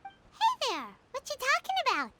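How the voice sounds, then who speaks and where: A woman voicing a tiny, excitable, cheerful fairy-like girl companion with a squeaky childlike voice in a video game. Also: slow pace, very noisy, exaggerated voice. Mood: chirpy, curious. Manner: speaks of self in third person.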